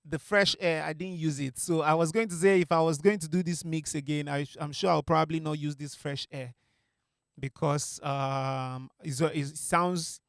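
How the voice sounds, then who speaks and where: Young guy producer walking thru his mix, smooth clear voice, dry close mic, lots of ums. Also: on the high side, normal speed, no echo. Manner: relaxed, explains with many fillers and restarts. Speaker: music producer teaching mixing. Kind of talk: casual tutorial talk. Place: small home music studio. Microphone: studio mic on a stand.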